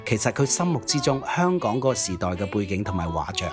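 A man's voice: on the low side, big pitch swings, quick pace. A man with a Cantonese accent co-hosting a music show, chatting casually and often checking in with questions. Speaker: co-host of a Cantonese pop history show. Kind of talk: casual conversational commentary on films and old songs.

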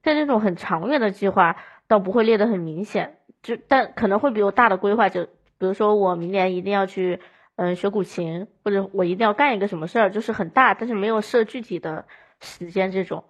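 A woman's voice: mid-range voice, fairly quick, muffled recording. A woman talking casually as a speaker on a podcast, in an everyday conversational way.

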